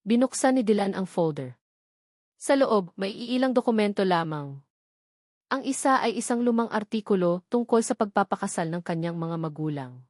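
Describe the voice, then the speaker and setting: A woman narrator reading a scripted romantic drama in a measured, melodramatic storytelling manner. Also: mid-range voice, animated, darkish tone, no room sound, clean studio sound.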